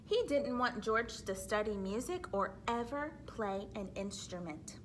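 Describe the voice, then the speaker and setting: A woman reading a children's book aloud expressively, pausing to explain things simply like a teacher. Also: fairly high pitch, expressive, fairly bright tone, a little hiss or hum. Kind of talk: read-aloud storytelling with explanatory asides for children.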